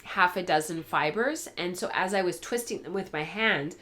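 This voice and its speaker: Woman, lowish pitch, casual vlog chat, informal, rambling, thinking aloud, voice tired from a cold. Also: normal speed, a bit bright. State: getting over a cold, voice tiring. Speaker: host of a spinning and fibre craft show.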